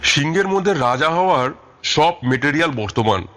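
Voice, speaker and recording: A man as a calm, confident detective. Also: a little high, moderate pace. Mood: instructive. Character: calm, confident, commanding male Bengali detective. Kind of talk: audio story character acting.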